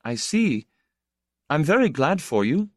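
A man's voice: on the high side, sing-song, unhurried, dry recording, studio quality, pitched above the performer's own voice. A man voicing a proud, awkward young man in an audiobook, stiff and bitterly resigned. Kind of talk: audiobook character dialogue.

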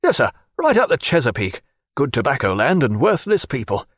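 A man voicing a fretful, excitable middle-aged merchant who pleads and grumbles in a raised, fussy voice. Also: medium pitch, normal speed, husky, dry recording, studio quality, exaggerated voice. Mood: scornful. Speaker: former loyalist and merchant.

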